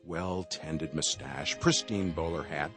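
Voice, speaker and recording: An actor playing a wry, mock-suspense host narrator in a radio play, speaking in an arch, dramatic, emphatic manner. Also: male voice, very deep voice, slow pace, over music. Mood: dramatic, droll.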